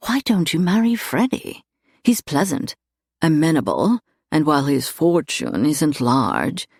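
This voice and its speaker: A sardonic, aristocratic thief played by a woman narrator speaks in a low, leisurely voice. He is flippant and formal, drawling his teasing lines in a very dry storybook recording. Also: creaky, a little mellow, no echo, full character voice. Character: arrogant, flippant, sardonic gentleman thief, suave lord. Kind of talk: audiobook character dialogue.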